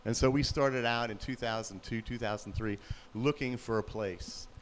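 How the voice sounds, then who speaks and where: A man with a very low voice, a youth pastor, telling his story in a relaxed, plain-spoken way in a church. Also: moderate pace, slight background noise, low-quality recording. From Pennsylvania, USA.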